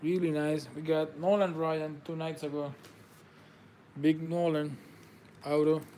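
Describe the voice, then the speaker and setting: A man's voice: on the high side, level tone, unhurried, warm tone, a little hiss or hum, poor audio. A man, whose first language is Spanish, hosts a live trading card break, calling out players and congratulating viewers in slightly non-native phrasing. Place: indoor table with card boxes.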